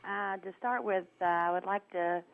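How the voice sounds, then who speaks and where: A woman's voice: medium pitch, normal speed. A woman calling a radio show by telephone, speaking in a blunt, scolding, argumentative manner. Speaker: radio phone-in caller. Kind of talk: casual argumentative phone-in chat. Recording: narrow phone line with faint noise.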